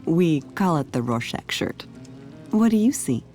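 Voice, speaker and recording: A middle-aged mother in a video game cutscene, with a lively, swooping intonation. She is a prim, theatrical hostess, gracious and faintly pointed, over quiet music. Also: deep voice, unhurried, heavily acted. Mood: politely pointed.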